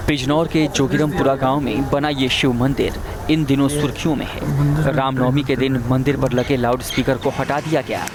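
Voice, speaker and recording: Male Hindi news voice-over, mid-pitched. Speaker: news reporter narrating a report.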